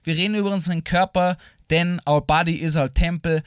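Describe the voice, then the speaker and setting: A man's voice: a little high, steady tone, a little fast, smooth, dry recording, studio quality. A German man co-hosting a podcast in casual chat, telling stories animatedly and mixing English phrases into his German. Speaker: podcast co-host.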